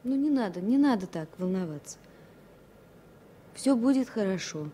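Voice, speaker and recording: An actress playing a sad, guarded young flight attendant with restrained, earnest delivery in a 1970s Soviet radio play. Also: female voice, medium pitch, animated, normal speed, warm tone, close to an everyday voice. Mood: polite, composed. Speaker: radio drama actor. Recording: faintly noisy vintage radio recording.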